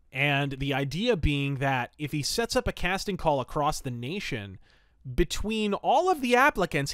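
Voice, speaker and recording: A man's voice: a little high, fairly bright tone, dry recording, studio quality. A man hosting a podcast, casually reviewing and recapping stories in a chatty, digressive way with emphatic asides. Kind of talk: casual review and plot recap of pulp fiction.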